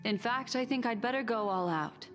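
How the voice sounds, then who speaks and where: An actress playing a composed, observant mystic in a game, measured and poised. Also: female voice, fairly high pitch, close to an everyday voice. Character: composed, observant mystic woman reading memories. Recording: roomy reverb with soft music.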